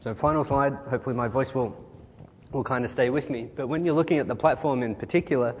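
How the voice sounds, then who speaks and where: A man presenting at a tech conference on a large stage, talking quickly and informally while losing his voice. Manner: quick, informal, kind-of fillers. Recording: hall reverb, faint rumble.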